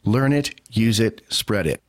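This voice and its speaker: A man's voice: lowish voice, animated, unhurried, dry recording. A man reading a radio advertisement in an urgent, insistent way. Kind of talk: radio ad read.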